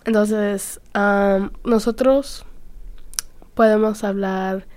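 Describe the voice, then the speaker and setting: A woman's voice: medium pitch, fairly even intonation, very slow, darkish tone. A teenage girl, a student, talking casually in a group discussion and steering the conversation with questions. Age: teens. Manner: chatty, leads the talk, asks others questions.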